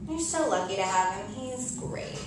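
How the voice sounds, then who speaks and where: A woman's voice: medium pitch, animated, moderate pace, close to an everyday voice. A woman playing a brash, spiteful young woman in a staged comic play reading, one-upping her frenemy with casual, emphatic, profane talk. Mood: wry, casual. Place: live reading in a tavern. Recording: echoey live room, distant mic, faint noise.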